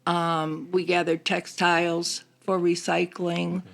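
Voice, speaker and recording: A woman's voice: lowish voice, flat delivery, slow, creaky. A woman chatting casually as a member of a community group. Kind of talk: casual chat.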